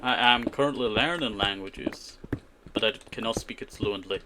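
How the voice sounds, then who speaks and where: A man with a lowish voice chats loosely while he plays, circling back with "you know" and "I tell you" as he answers viewers. The sound is a home streaming setup, with clear keyboard clicks and typing behind him. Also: moderate pace, noisy background. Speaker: streamer playing a modded survival game. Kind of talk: casual live-stream chat while playing, answering chat.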